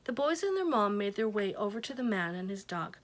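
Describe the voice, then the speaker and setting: A woman reading a children's book aloud, with gentle asides to her young listeners. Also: medium pitch, very animated, brisk. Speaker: reader.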